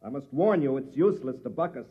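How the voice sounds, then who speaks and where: A man's voice: medium pitch, animated, fast, husky. An actor playing a coolly menacing male agency boss in mid-century American radio drama, formal and commanding. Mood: cold threat. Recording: muffled lo-fi old radio recording.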